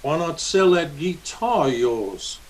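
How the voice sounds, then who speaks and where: Slow, sneering cowboy bully played by a man. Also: a little high, animated. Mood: mocking. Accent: American. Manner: mocking, slow-drawling taunts. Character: menacing cowboy bully, sneering ex-Confederate guerrilla. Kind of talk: old-time radio Western acting.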